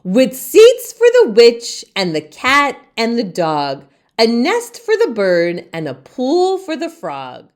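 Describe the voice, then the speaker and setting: A woman reads a children's story aloud in a theatrical way. She takes it very slowly, phrase by phrase, with wide swings in pitch. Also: huge pitch swings. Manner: theatrical, deliberate phrasing for young listeners. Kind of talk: expressive children's read-aloud narration.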